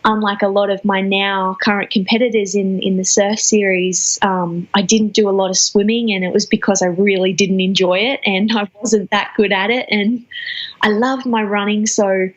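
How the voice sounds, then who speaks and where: A young woman in her twenties answering interview questions thoughtfully, often pausing and restarting phrases. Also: mid-range voice, fairly even intonation, muffled recording. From Australia. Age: 20s. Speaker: guest athlete.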